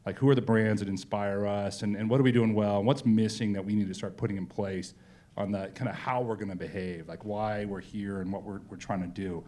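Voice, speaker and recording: A man's voice: on the low side, steady tone, a little fast, dark tone. A man speaking as a company executive in a casual on-stage interview, telling colloquial stories with frequent asides.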